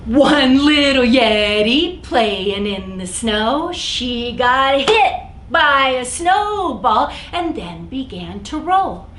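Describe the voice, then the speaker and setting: A woman's voice: high-pitched, lively intonation, very slow, fairly bright tone. An American woman hosting an interactive story time for young children, speaking simply and with lots of animation. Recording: roomy echo, some background noise.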